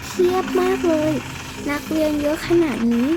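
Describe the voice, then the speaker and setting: A young female performer voicing a vain, sassy, grumbling schoolgirl with drawn-out exclamations in a game role-play drama. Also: high-pitched, over music, natural voice, low-quality recording. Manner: exclamatory, drawn-out whining interjections.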